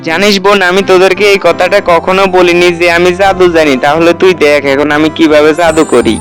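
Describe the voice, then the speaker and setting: A man's voice: sits high, fairly even intonation, brisk, a bit bright, faint music behind. A man playing a confident, protective older brother, a clever boy in a children's fairy-tale cartoon, speaking reassuringly. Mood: curious, confiding.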